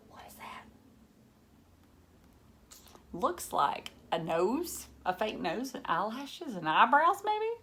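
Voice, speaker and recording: Slow, animated woman reading to children. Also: fairly high pitch. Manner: theatrical, gasping, questioning the young listeners. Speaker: storyteller reading aloud to children. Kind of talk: expressive picture-book read-aloud with asides.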